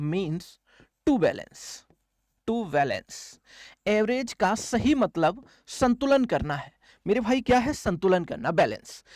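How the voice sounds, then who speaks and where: A man's voice: high-pitched, a little mellow, dry recording, studio quality. A man teaching maths for exam coaching, explaining step by step, repeating key phrases and answering his own questions. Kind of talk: classroom lecture, step-by-step explaining.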